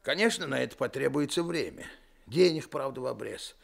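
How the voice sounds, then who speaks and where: A generous, fatherly man with a gravelly, creaky voice in an old radio play. He reassures and promises help, warm and steady. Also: medium pitch, brisk. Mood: encouraging. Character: kindly middle-aged man, generous, reassuring. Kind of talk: 1970s Soviet radio play acting.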